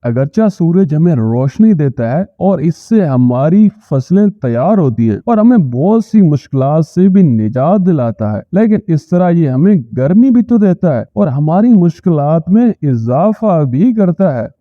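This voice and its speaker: A man voicing troubled, grave villagers in a children's cartoon story, earnest and deliberate. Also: a little high, sing-song, dry recording, narrow, tinny audio. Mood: agreeing. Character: troubled village men and a grave old elder.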